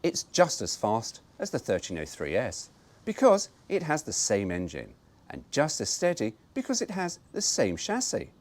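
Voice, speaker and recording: A man's voice: medium pitch, wildly swooping pitch, normal speed, darkish tone. A man reading an old car brochure aloud, breaking off for wry, mock-indignant asides.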